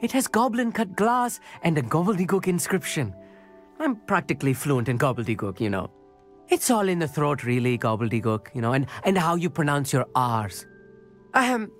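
Quirky boastful schoolgirl stargazer, lively swooping pitch, rambling, faint game music. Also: normal speed. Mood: chatty. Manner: rambling, self-assured, animated delivery. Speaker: game character.

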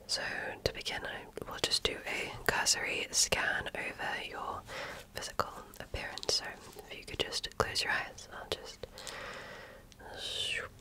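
Slow whispering woman in ASMR role-play. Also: poor audio. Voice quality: soft breathy whisper. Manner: gentle, measured, attentive. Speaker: ASMR performer playing a medical examiner.

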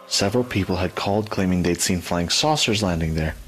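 A man playing an earnest, easygoing teenage boy in a fan audio drama, hesitant and expressive. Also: low-pitched, a little fast, breathy edge. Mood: reading aloud.

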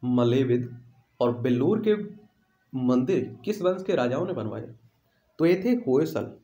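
A man's voice: medium pitch, expressive, a little slow, mellow. A man teaching exam questions in an instructional way, repeating questions and answers for emphasis, with an echoey room sound. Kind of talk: instructional quiz walkthrough.

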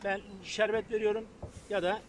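A man's voice: sits high, steady tone, normal speed, a little hiss or hum. Brief: man with a raised, light voice, hands-on beekeeping teacher talking as he works, faint buzzing field behind. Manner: practical, addresses viewers as friends, thinks aloud while working. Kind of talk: casual outdoor how-to lesson. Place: open field beside beehives, outdoors. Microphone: far camera on a tripod.

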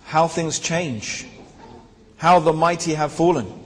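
A man's voice: a little high, slow pace. A man, telling his life story to a hall audience, with rhetorical asides and many fillers, into a handheld mic. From born in Tanzania, raised in England. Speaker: speaker. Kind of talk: personal story talk to an audience. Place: large hall with seated audience. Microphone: handheld microphone. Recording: long hall reverb.

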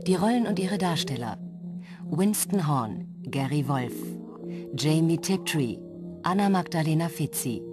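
Slow low-voiced woman reading credits. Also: animated, music in the background. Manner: slow, measured. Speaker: announcer. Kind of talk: credits announcement.

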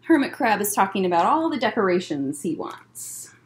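A young American woman, a children's librarian, reading a picture book aloud to children with expressive, deliberate phrasing and short asides. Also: mid-range voice. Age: young adult. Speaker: children's librarian hosting story time. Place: indoor library room lined with bookshelves. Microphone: webcam at desk distance.

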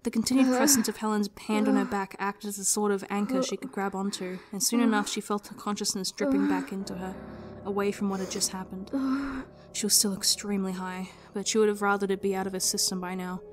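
A woman's voice: mid-range voice, fairly even intonation, fast, few pauses. A woman narrating a story as a dramatic reading, in an emotive, intense manner. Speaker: narrator and writer. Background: prominent music.

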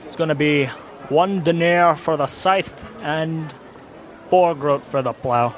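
A man playing a gruff, businesslike medieval toolmaker in an audio drama, blunt and stern as he haggles. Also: on the high side, takes it slowly, slight background noise. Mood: firm.

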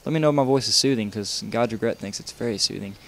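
A young man with a somewhat low voice narrates a game-building tutorial over footage. He talks loosely and casually, trailing off, restarting sentences and padding with fillers. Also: quick pace. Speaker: video game tutorial maker. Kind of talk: rambling tutorial voice-over while playing.

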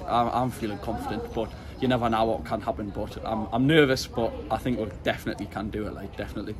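A young man with a Geordie accent, chatting as a football fan in a street interview, saying "aye" and "like" a lot. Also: mid-range voice. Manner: chatty, peppers speech with "like" and "aye". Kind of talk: street vox pop. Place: city street outdoors. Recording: street noise behind.

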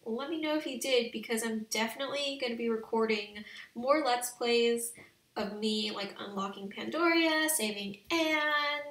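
A young woman giving casual, slangy let's play commentary on a video game in an excitable, gushing way. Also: high voice, echoey room, far from the mic. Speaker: video game streamer and channel host.

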